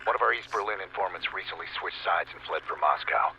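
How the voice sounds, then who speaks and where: Brisk, deep-voiced cold spymaster. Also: male voice, sharp tone, exaggerated voice. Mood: cold, terse. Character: curt, hard-nosed spymaster pressing an informant. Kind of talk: dramatic video game cutscene acting. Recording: muffled radio sound, clear noise.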